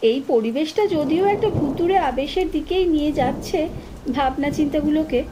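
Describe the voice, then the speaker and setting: A woman reading a first-person horror story in an expressive, dramatic storytelling manner. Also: high-pitched, normal speed, noisy background. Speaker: story narrator. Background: ambient sound effects.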